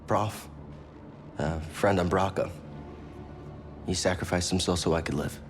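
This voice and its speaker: An actor playing a reserved, earnest young Jedi adventurer in a video game, with an understated, sincere delivery. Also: male voice, sits low, steady tone, unhurried. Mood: subdued. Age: young adult. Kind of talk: cinematic game dialogue. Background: music.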